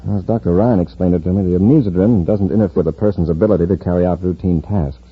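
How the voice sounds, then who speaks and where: An actor playing a decisive, authoritative space patrol commander in an old-time radio serial, firm and commanding. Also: male voice, low voice, quick pace, husky. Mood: calm, analytical. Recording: muffled vintage radio recording.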